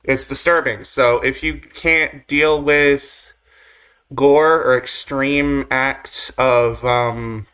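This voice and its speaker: A man's voice: mid-range voice, steady tone, drawn-out words, pushed and bright, smooth, rough recording. A man giving casual, rambling reaction commentary as a video host, with slangy phrasing and mild swearing. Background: music or drone from the video being watched.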